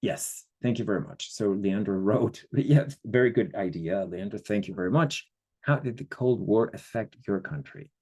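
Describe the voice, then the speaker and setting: A middle-aged man from Chile giving an online history lecture, speaking haltingly with many fillers and checking in with listeners. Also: on the low side, darkish tone, husky. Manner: slow, hesitant, many fillers, checks in with the audience.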